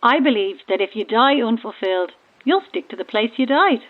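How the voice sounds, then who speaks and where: An actress playing an eerie, self-aware believer in ghosts calling from a stranded boat, conversational and cryptic, through a radio filter. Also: female voice, fairly high pitch, lively intonation, a little fast, phone-quality sound. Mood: chatty, keen. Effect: radio transmission filter. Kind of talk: naturalistic audio horror drama acting. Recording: thin, band-limited marine radio.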